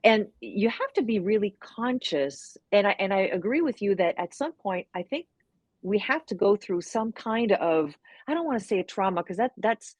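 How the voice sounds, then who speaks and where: A woman whose first language is French, a guest telling lively personal stories and acting out her past self. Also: mid-range voice, moderate pace, dry recording. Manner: animated storyteller, acts out her own past voices. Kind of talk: heartfelt conversational interview.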